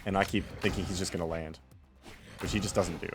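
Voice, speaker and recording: A man's voice: sits low, steady tone, quick pace, over music. A man giving casual, off-the-cuff commentary on his own game replay in a rambling, wry and self-deprecating way.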